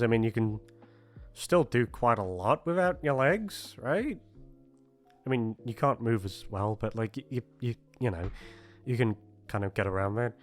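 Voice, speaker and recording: A male channel host rambles through a casual outro. He is awkward and self-deprecating, halting often and drifting along at an unhurried pace over faint music. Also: mid-range voice. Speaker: voice actor hosting his channel.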